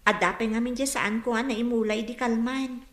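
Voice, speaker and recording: Dutiful young Ilocano daughter, played by a woman: high, earnest, reassuring; echoey radio drama over faint music. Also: fairly even intonation, a little fast, fairly bright tone, close to an everyday voice.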